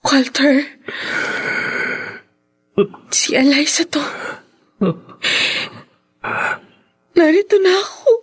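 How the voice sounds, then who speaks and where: A woman's voice: sits high, takes it slowly. A woman playing a proud, heartbroken young woman in an earnest, melodramatic radio drama. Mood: anguished, crying. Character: wounded, proud young woman still secretly in love. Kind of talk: Tagalog radio drama acting.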